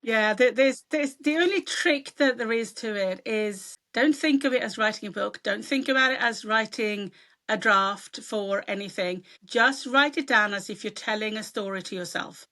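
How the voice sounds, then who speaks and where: A woman's voice: fairly high pitch, normal speed, pushed and bright. A middle-aged woman from Sweden, an author, chatting casually and telling stories in an interview. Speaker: author guest.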